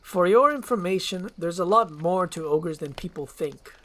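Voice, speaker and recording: A performer voicing a big, gruff, irritable male ogre in a storytelling roleplay, blunt and exasperated. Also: mid-range voice, expressive, moderate pace, a bit bright, slight background noise, voice pushed up, natural voice.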